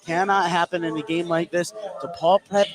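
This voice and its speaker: A man doing live play-by-play basketball commentary for radio, getting excitable on big plays. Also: high-pitched, normal speed, music in the background. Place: indoor basketball gym. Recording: noisy live gym broadcast. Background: loud game ambience.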